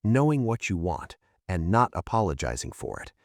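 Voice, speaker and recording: A man voicing a quiet, weary, elegant woman in a story, with soft, brief, hesitant replies. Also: sits low, very animated, moderate pace, no room sound, clean studio sound. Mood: quietly curious.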